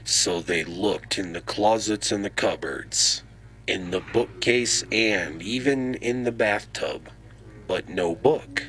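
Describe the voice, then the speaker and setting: Slow, deep-voiced male storybook narrator. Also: fairly bright tone. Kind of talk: storybook narration in radio drama style. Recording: noisy ambient sound effects behind.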